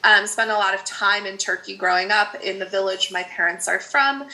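Bright-voiced candid woman podcast guest. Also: mid-range voice, fairly even intonation, moderate pace, poor audio. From Netherlands. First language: Dutch. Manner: candid, chatty, laughs often. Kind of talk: casual podcast interview.

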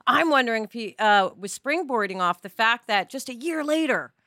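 A woman's voice: a little high, expressive, normal speed, bright, forceful tone, no echo, clean studio sound. A middle-aged American woman co-hosting a podcast, talking casually with enthusiasm and emphasis and rambling through her opinions. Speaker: podcast co-host.

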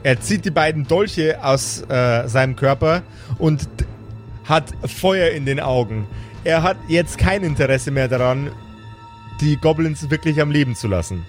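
Higher-voiced man as game master. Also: measured pace. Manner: vivid action storytelling mixed with colloquial table talk. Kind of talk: improvised narration of a tabletop fantasy game. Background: background music.

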